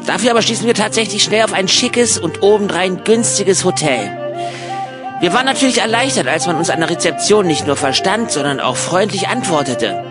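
A man's voice: high-pitched, animated, fairly quick, over music. A man playing an eager, practical young German emigrant in a radio play, chatty and mildly exasperated as he talks and narrates. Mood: narrating.

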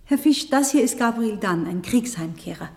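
An actress playing a gentle, polite hotel lodger, speaking patiently and courteously in a German radio play. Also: female voice, on the high side, animated, normal speed, vocal fry. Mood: polite request. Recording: reverberant room.

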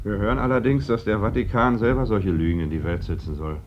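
An actor playing a bitter Republican worker in a radio play, probing with pointed, insistent irony. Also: male voice, on the low side, fast talker, natural voice. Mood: terse. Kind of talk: German radio play acting. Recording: dull, noisy old radio recording.

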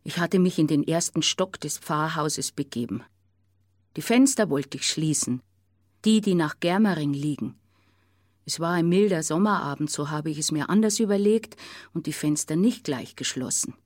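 An actress playing a parsonage resident who gives a formal, precise witness statement in a naturalistic radio play. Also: female voice, low-pitched, expressive, a little mellow, no room sound, studio quality. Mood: composed, exact. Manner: formal, precise, measured wording.

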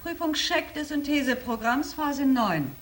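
A woman playing a dutiful, bossy space crew supervisor giving brisk instructions in a radio drama. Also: high voice, expressive, a little hiss or hum. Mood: bossy, businesslike. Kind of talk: science fiction radio drama.

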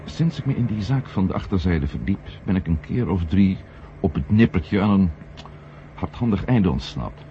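An actor playing an insistent, argumentative former astronaut turned journalist in a 1970 radio play, in speech. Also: male voice, deep voice, lively intonation, moderate pace, mellow. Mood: earnest, argumentative. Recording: dull, noisy old radio recording.

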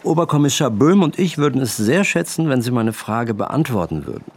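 An actor playing a stern police superior in a radio drama, formal, sarcastic and husky. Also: male voice, mid-range voice, big pitch swings, brisk.

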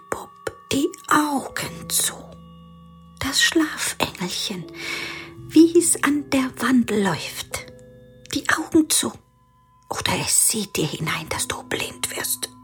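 An actress playing a poor, restless young mother in a radio drama, speaking in a breathy half-whisper. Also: lowish voice. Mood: urgent hushing.

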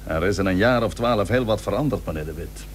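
A man's voice: deep voice, brisk, natural voice. An actor playing a stern, proud admiral and cautious seaman in a historical radio play, speaking formally and emphatically. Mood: measured, wary. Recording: old radio recording with faint hum.

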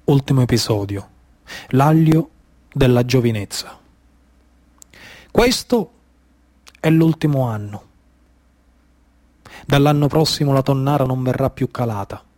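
A man's voice: medium pitch, expressive, measured pace, long pauses, a little mellow, no echo. A man with a Sicilian accent giving dramatic, declamatory scripted narration as a stage storyteller in short suspenseful phrases.

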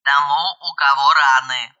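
A woman's voice: sits low, flat delivery, a little slow, bright, forceful tone, dull audio, full character voice. A woman voicing a bored, disgusted emergency dispatcher in an audiobook, curt and squeamish. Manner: squeamish, curt, indifferent drawl.

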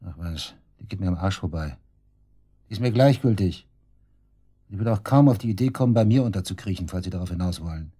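A man's voice: low-pitched, expressive, brisk, warm tone, husky. An actor playing a brash, sarcastic murder suspect under police questioning in a radio drama, crude and defiant in his slangy talk. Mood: scornful, sarcastic. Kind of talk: naturalistic German radio drama acting.

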